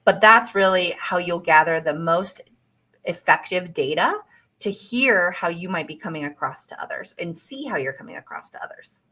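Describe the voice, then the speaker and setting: A woman career coach giving interview advice in a measured, encouraging way. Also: on the low side, animated, sharp tone. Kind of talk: casual advice chat.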